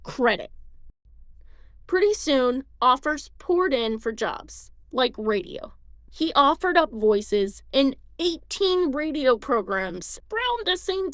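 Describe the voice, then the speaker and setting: A woman's voice: a little high, no room sound. A woman reading scripted documentary narration. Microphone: close dry studio voice-over.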